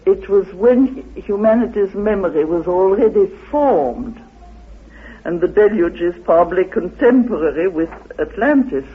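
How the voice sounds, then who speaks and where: A man with a high, rather slow voice explains things with emphatic, halting repetition. He sounds like an impassioned guest in a discussion. The recording is narrow and tinny, like old radio. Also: lively intonation, husky. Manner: emphatic, halting, repeats phrases for weight. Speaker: interviewed guest.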